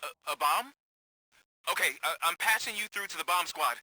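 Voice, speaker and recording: A nervous game cop, kinda high and super bright, hesitant, eager, flustered. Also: male voice, normal speed, dry recording. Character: nervous, eager, bumbling male police officer. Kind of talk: video game cutscene acting.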